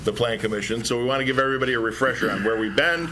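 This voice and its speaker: A man chairing a public meeting, brisk and joking as he introduces the next speaker. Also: mid-range voice, fairly even intonation, noisy background.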